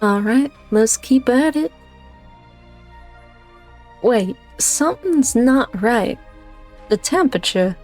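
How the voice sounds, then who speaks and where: A woman's voice: on the high side, expressive, slow pace, pauses often, a little mellow. A woman playing a tough, sardonic female director in a fan-dubbed game story, dry and commanding. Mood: wry, confrontational. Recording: faint background music.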